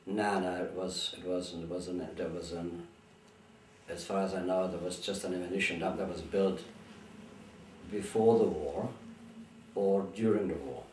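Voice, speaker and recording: Older man with a very low voice, recalling the past. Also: steady tone, darkish tone, a little hiss or hum. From Bulgaria. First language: Bulgarian. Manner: halting, restarts often. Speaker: interviewee. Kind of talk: recalling memories in an interview. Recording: echoey room, mic far off.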